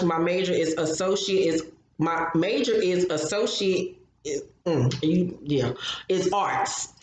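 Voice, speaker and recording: A woman co-hosting a casual podcast, upbeat and relaxed, reading a listener's letter aloud. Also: lowish voice. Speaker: podcast co-host. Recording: very echoey room with faint noise.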